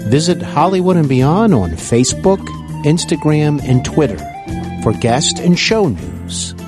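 A man hosting an interview podcast, reading a scripted promo with slow, deliberate delivery. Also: on the high side, big pitch swings, music in the background. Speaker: podcast host.

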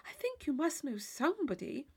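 A woman voicing a polite, uneasy young gentlewoman in an audiobook, speaking in a hushed, earnest, genteel way. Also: high voice, big pitch swings, normal speed, pitched above the performer's own voice. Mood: earnest, hopeful.

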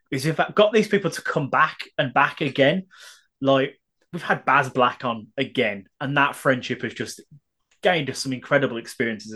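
Lively, enthusiastic male podcast host. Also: medium pitch. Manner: enthusiastic, sweary. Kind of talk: casual chat.

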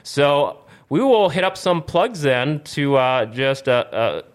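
A man's voice: fairly high pitch, very animated, slow. A man hosting a casual gaming talk show, reading news and plugs in a rambling way and often stumbling over words and correcting himself. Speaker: podcast host. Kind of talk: casual video game talk show with plugs and sponsor reads.

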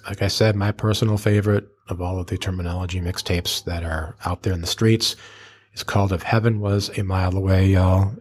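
Low-voiced man hosting a hip-hop show. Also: fairly even intonation, fairly quick, darkish tone. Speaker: podcast and radio host.

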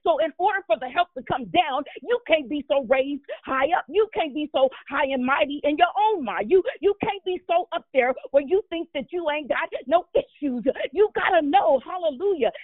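High-pitched woman preacher on thin phone-like audio. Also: dry recording. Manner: exhortatory, repeats words and phrases for emphasis; punctuates speech with devotional exclamations.

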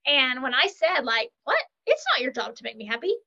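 An upbeat woman talks through a muffled, lo-fi line, her voice sitting a little high. She chats in an animated way and keeps checking in with "right?". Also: a little fast, creaky. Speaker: podcast guest, author. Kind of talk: casual interview chat. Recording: lo-fi muffled sound.

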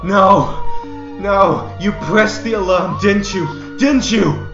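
A desperate young stickup man acted by a man in an audio drama, with a high voice for a male. He is agitated and barks in measured bursts. The sound is roomy and muffled, with music behind. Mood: aggressive. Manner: shouting orders, agitated. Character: desperate, aggressive, panicky younger male armed robber.

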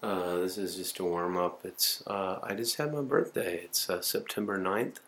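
A man in his forties talks to his home camcorder in a rambling, self-mocking way, swearing freely. His voice sits low. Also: moderate pace. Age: 40s. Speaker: video diarist. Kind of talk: casual self-recorded monologue.

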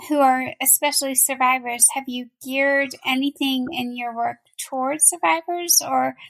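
A woman hosts an interview podcast, her voice bright and a touch high in pitch. She asks her questions gently and thoughtfully, building on what her guest says. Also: creaky, low-quality recording. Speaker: podcast host.

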